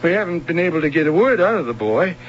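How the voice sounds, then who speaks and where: An American man playing an earnest police officer in an old-time radio drama, halting and concerned. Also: fairly high pitch, very animated. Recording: dull noisy old radio broadcast, hum.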